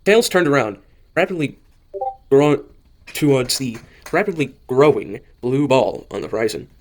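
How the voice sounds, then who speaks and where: A man reading a scripted story as narrator, haltingly, often stumbling and rereading phrases. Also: medium pitch, lively intonation. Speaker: narrator of an amateur audio drama.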